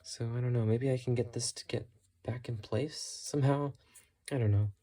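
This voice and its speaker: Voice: mid-range voice, steady tone, normal speed, noisy background. A hobbyist vlogger giving a casual, unscripted show-and-tell in a matter-of-fact way, musing tentatively while talking. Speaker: hobbyist vlogger showing thrift-store radio finds.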